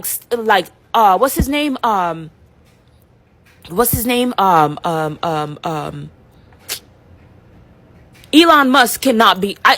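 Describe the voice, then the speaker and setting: A woman talks straight to camera in a heated, emphatic rant. Her voice sits high and slightly husky. She repeats phrases, stumbles and restarts as she presses her point. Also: fairly bright tone. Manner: emphatic, repetitive, many restarts and fillers.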